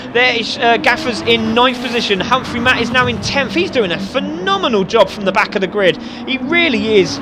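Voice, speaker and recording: A man giving excited live commentary on a sim race, often shouting and laughing. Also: extremely high pitch, noisy background.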